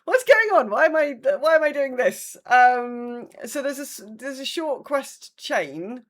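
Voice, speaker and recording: Female podcast co-host, fairly high-pitched, casual and halting, interrupts herself as she talks. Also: expressive. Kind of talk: casual chat.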